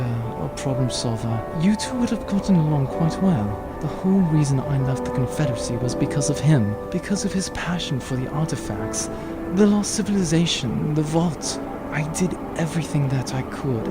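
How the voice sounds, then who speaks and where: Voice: medium pitch, close to an everyday voice. An actor playing an earnest, guilt-ridden adventurer in an audio drama, speaking solemnly.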